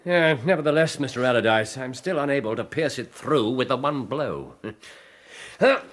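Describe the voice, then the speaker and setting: An actor in a radio drama playing an eccentric gentleman detective in a playful, mock-heroic, courtly manner. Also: medium pitch, expressive, moderate pace, close to an everyday voice. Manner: jovial, mock-heroic, hesitant courtly phrasing.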